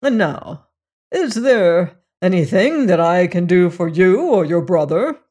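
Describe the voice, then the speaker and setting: A reader voicing a pompous, self-righteous older clergyman who lectures in a loud, preachy way. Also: medium pitch, expressive, unhurried. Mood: unctuous. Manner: sanctimonious, lecturing, rising to indignant.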